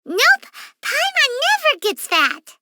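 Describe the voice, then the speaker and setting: A woman voicing a tiny, excitable, food-obsessed floating fairy companion in a video game, with a squeaky childlike voice. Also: takes it slowly, no echo, full character voice. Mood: indignant, boastful. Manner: bubbly, speaks of self in third person.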